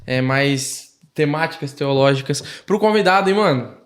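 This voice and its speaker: A man co-hosting a church podcast, quick with jokes as he introduces the guest. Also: fairly high pitch, animated. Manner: quick-witted, joking. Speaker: podcast co-host. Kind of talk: casual podcast chat.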